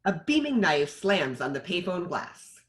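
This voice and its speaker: Male narrator, scripted stage directions, lively varied pitch, table read. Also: unhurried.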